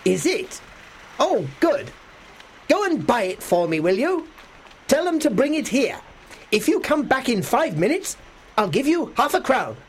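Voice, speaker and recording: A man's voice: extremely high pitch, big pitch swings, a little slow, long pauses, breathy edge, voice pushed up, exaggerated voice. A man voicing a joyful reformed old miser in a storybook, exclaiming with giddy, tearful glee. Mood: excited glee. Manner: exclamatory, gleeful.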